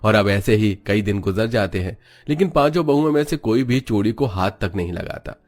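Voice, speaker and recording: Low-pitched male story narrator. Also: expressive, moderate pace, a little mellow. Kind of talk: scripted narration of an animated family story.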